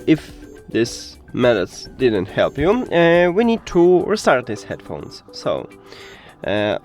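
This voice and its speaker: A man presenting an unscripted step-by-step tech tutorial in simplified non-native English, halting often with filler sounds. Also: medium pitch, a little mellow. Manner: hesitant, halting delivery with frequent filler sounds. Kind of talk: unscripted step-by-step how-to narration.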